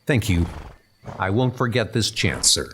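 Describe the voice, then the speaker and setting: A man voicing an earnest, cool-headed young British spy recruit, speaking politely and with measured care in a comic dub. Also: fairly low pitch, very animated, a bit bright, a little hiss or hum.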